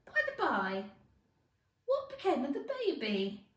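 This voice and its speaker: A woman voicing a grinning mad cat from a children's storybook, teasing and self-assured, with slow, drawn-out delivery. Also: high-pitched, huge pitch swings, fairly bright tone, exaggerated voice. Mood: casually curious. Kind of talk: children's storybook character reading. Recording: echoey room.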